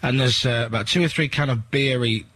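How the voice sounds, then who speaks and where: A man's voice: mid-range voice, fairly quick, fairly bright tone, husky, no room sound. A man hosting a radio breakfast show, telling stories and joking with his team in the studio. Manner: chatty, joking storyteller. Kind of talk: casual studio banter.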